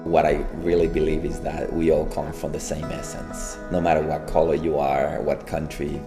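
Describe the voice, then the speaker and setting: Very deep gravelly man. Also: level tone, normal speed, music in the background. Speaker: interviewee.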